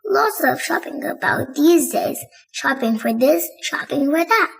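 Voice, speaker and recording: A woman's voice: sits high, expressive, measured pace, creaky, heavily acted. A woman voicing a busy, chatty female rabbit who drives a taxi in a children's storybook. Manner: chatty, helpful.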